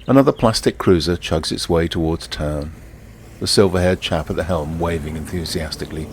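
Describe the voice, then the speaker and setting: An actor playing a wry, easygoing ordinary bloke who confides in the listener in a leisurely first-person monologue. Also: male voice, deep voice, a little fast, slight background noise. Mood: wry, amused. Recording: outdoor ambience with birdsong behind. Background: birdsong and outdoor ambience.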